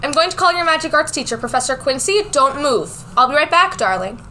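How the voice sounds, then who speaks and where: A woman acts out a school nurse who coos at her patients and bosses them around. Her voice is very high and bright, in radio drama style. There is a slight noise in the recording. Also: animated, fairly quick. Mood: stern, alarmed. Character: sugary but strict school nurse, a woman. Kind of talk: amateur radio drama acting.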